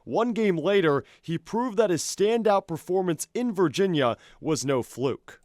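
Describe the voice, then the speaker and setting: A man's voice: sits high, expressive, measured pace, darkish tone, a little hiss or hum. A young man working as a sports broadcaster, commentating and presenting in a punchy, descriptive announcer's delivery. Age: young adult.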